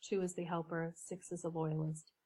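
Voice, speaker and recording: A woman coach, a podcast guest, explaining ideas in a measured way with some fillers. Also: deep voice, flat delivery, mellow, husky. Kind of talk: podcast interview.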